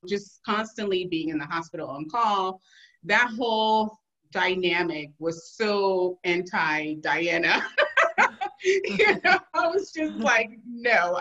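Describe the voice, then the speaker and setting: Bright-voiced animated woman, slightly dull recording. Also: medium pitch. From Liberia, West Africa. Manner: animated, laughs often while telling stories. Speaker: podcast guest, emergency physician. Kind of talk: casual interview storytelling.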